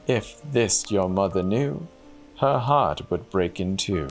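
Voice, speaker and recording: A man's voice: deep voice, animated, slow pace, gravelly, soft background music, heavily acted. A man voicing the mournful head of a faithful talking horse, reciting solemn verse in a fairy tale.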